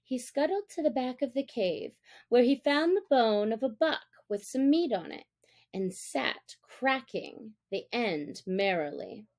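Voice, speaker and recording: A woman narrating a classic story as an audiobook reader, with deliberate, expressive phrasing. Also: a little high.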